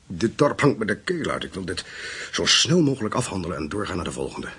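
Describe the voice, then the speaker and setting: An actor playing a cold, calculating hitman who can turn smoothly polite, in a Dutch radio play. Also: low voice, very animated, fast talker, fairly bright tone, full character voice. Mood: cold, businesslike. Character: cold, calculating male hitman posing as pious convert. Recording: radio play with faint background noise.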